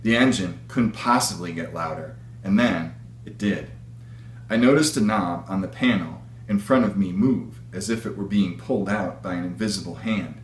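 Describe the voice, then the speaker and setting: Low-voiced man reading in an echoey room. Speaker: author reading his own book. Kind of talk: read-aloud story narration. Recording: echoey room with faint hum.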